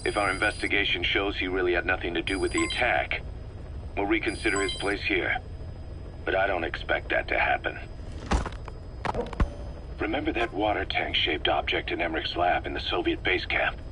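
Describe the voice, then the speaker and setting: A man's voice: low-pitched, moderate pace, pauses often, lightly acted. A man playing a stern, distrustful mercenary officer in a video game, giving briefings in a clipped, authoritative way. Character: stern, distrustful male mercenary second-in-command briefing his commander. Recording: faint background noise on cassette recording.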